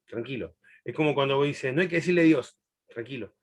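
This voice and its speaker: A middle-aged man from Chile, raised in Argentina, teaching a Bible class in a chatty, laughing way. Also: mid-range voice. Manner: warm, chatty, laughs often, long pauses. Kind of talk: answering a student in a live class.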